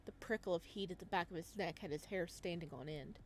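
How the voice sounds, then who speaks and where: A woman hosting a video game playthrough, reading the story aloud as expressive narration and reacting as she goes. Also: fairly low pitch, fairly quick, a little hiss or hum. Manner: expressive, slips into character voices. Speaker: gaming video host reading a visual novel aloud.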